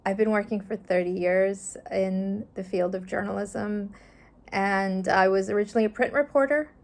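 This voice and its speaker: A woman's voice: mid-range voice, steady tone, moderate pace, vocal fry, darkish tone. A middle-aged woman from Afghanistan, a journalist, talking earnestly and thoughtfully in an interview, with some fillers. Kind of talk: reflective interview answers.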